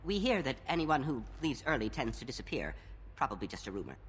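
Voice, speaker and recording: A jumpy construction worker in a game, voice swings a lot, big echoey noisy space. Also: male voice, medium pitch, big pitch swings, fast talker, fairly bright tone. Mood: uneasy. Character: frightened male construction worker, grateful and nervous. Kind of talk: video game acting.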